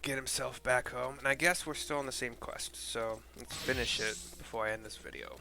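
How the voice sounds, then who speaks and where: A man's voice: medium pitch, steady tone, normal speed, vocal fry. A man streaming a video game, narrating scenes and commenting casually with rambling, informal, sweary asides. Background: game music.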